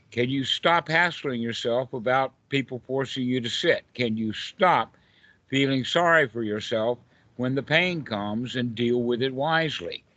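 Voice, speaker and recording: A man's voice: medium pitch, a bit bright, dry recording. A man with a Southern US accent teaching informally in a group call, emphatic and fond of repeated rhetorical questions. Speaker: meditation teacher. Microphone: online group call. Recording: dull, lo-fi internet call audio.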